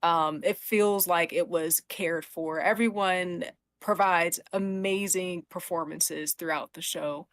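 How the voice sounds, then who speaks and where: A woman's voice: on the low side, fairly even intonation, slow, no echo. Casual woman podcast panelist, close dry sound. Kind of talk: casual chat about a TV show.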